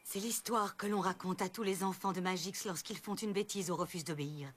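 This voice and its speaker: A woman's voice: lowish voice, steady tone, a little fast, breathy edge. A woman playing a grave, commanding fairy headmistress in a cartoon. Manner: solemn, commanding. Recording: music behind.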